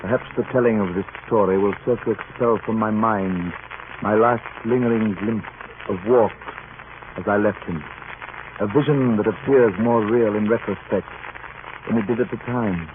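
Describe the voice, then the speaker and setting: Actor as a grave, low-voiced sea captain. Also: male voice, normal speed, close to an everyday voice. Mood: solemn, reflective. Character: grave, weary male sea captain narrating his past. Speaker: radio drama actor and narrator. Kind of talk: 1940s radio drama acting. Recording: muffled, noisy old radio broadcast.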